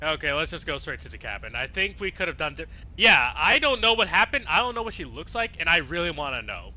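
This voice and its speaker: Very bright, animated male streamer. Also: on the high side, fast talker, dull audio. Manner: reactive, exclamatory. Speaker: let's play streamer. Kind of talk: casual gameplay commentary. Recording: faint background noise.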